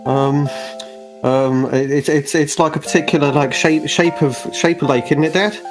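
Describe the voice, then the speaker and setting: A man's voice: on the high side, fairly even intonation, moderate pace, over music, voice pushed up, lightly acted. A man playing a nervous, eager-to-please teenage son in a tabletop game, answering hesitantly and stumbling over words.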